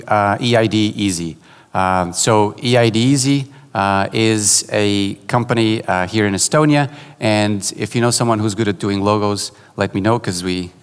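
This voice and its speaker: A man from Portugal giving a conference keynote, relaxed and joking, with many fillers and restarts. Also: on the low side, smooth. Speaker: keynote speaker. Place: large conference hall. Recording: hall reverb.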